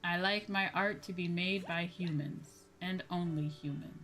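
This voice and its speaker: A woman's voice: fairly low pitch, fairly even intonation, measured pace, fairly bright tone. A woman livestreamer chatting casually while gaming, blunt and swearing freely, repeating her points for emphasis. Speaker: livestream host, VTuber.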